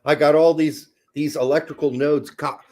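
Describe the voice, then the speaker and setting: A man co-hosting a casual live match watch-along, mixing crude jokes with excited play-by-play calls. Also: on the high side, normal speed.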